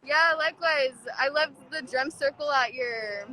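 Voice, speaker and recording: Bright, high-voiced young woman, slow delivery. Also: poor audio. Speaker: interviewee, student. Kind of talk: casual chat. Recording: faint outdoor urban traffic noise.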